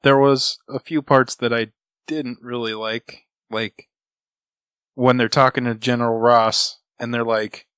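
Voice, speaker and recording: A man's voice: mid-range voice, flat delivery, unhurried, a bit bright, smooth, dry recording, studio quality. A man co-hosting a podcast, retelling and reviewing casually with some swearing. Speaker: podcast co-host.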